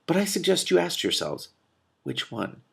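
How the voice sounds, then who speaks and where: A man's voice: on the high side, wildly swooping pitch, moderate pace, gravelly, voice pushed up, heavily acted. A man voicing a fretful, aggressive thief lieutenant from a storybook, speaking in a threatening manner. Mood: murmured threat.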